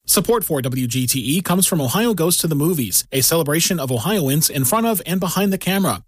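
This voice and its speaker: Guy reading a sponsor spot, bit high, dry close studio sound. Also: no room sound. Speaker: sponsor announcer. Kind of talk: read underwriting announcement.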